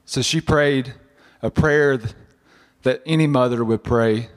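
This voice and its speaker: A man, giving a halting personal testimony in church, his voice husky from a cold. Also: mid-range voice. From southern West Virginia. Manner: halting, many restarts and fillers. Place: church sanctuary. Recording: church hall reverb.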